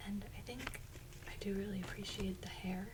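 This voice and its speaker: A young adult woman speaking softly in a breathy, whispery voice, in a gentle, casual ASMR unboxing style. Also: medium pitch, fairly even intonation, moderate pace, very noisy. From Mexican by nationality or heritage. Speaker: ASMR video creator. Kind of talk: soft-spoken ASMR unboxing.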